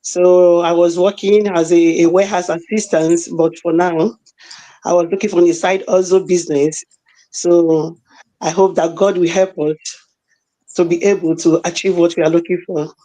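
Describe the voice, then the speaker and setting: A woman from Nigeria speaks slowly in simple phrases. Her voice is mellow and dark-toned, and she is heard over a video call. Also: lowish voice, steady tone, rough recording. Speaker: guest on live stream.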